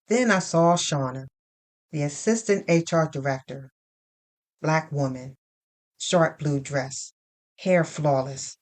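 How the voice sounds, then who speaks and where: A woman with a low voice narrates an audio drama in the first person. She takes her time, setting out the story in short, deliberate phrases with pauses between them. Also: darkish tone.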